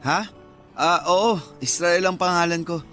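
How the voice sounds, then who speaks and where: An actor playing an obliging young mechanic in a Filipino radio drama, polite and casual and a little bashful. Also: male voice, high-pitched, unhurried, fairly bright tone, soft background music. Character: modest, obliging young male mechanic, shy with women.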